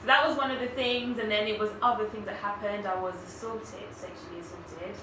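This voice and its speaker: A young woman from Zambia, raised in Botswana, speaking haltingly as an interview guest, with many fillers and restarts. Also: mid-range voice, fairly even intonation. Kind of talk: personal story in an interview. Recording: echoey room, far from mic, background noise.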